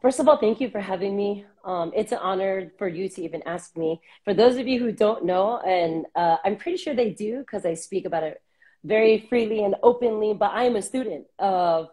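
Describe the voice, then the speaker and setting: A woman in her thirties from Southern California, a guest talking reflectively over a video call on earbuds, with many pauses and fillers. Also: medium pitch, slightly rough. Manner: warm, reflective, many ums. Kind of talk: casual interview on a video call. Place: small room indoors.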